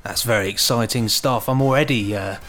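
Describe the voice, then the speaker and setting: A man co-hosting a podcast in casual chat, jokey and excitable, often searching for his words. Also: mid-range voice, a little fast. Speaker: podcast co-host.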